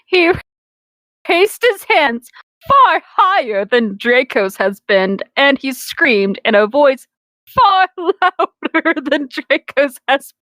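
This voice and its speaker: A woman with a high voice and a very lively, swooping delivery. She reads aloud for comedy and chats casually, crude and prone to giggles. Her pace is unhurried and the sound is close and dry. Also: no room sound. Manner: giggly, breaks into laughter while reading; irreverent, crude joking. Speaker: co-host reading fan fiction aloud. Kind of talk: comedic dramatic reading with casual banter.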